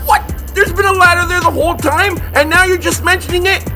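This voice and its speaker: An actor playing an exasperated, sarcastic, long-suffering sidekick in a comedy horror audio drama. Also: male voice, very high-pitched, fairly even intonation, fairly quick, music in the background. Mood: sarcastic, indignant. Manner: sarcastic, whiny.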